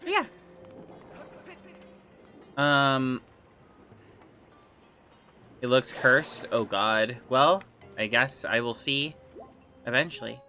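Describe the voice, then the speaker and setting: Male streamer, rambling and chatty, bright tense tone, a touch phlegmy; soft game music underneath. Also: mid-range voice, sing-song, drawn-out words, pauses often. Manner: rambling, trailing off and restarting, chatty with viewers. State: slightly phlegmy throat. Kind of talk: casual livestream chat while gaming.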